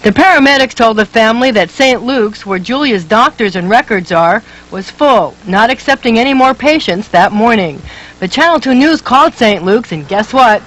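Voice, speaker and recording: A woman's voice: fairly high pitch, animated, normal speed, fairly bright tone, rough recording. A woman reporter reading a scripted investigative news story with emphatic, dramatic delivery.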